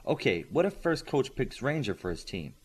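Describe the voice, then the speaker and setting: A man's voice: mid-range voice, big pitch swings, normal speed, slightly rough. A man voicing a young teenage boy in an audiobook, curious, polite and a little naive, earnest and questioning.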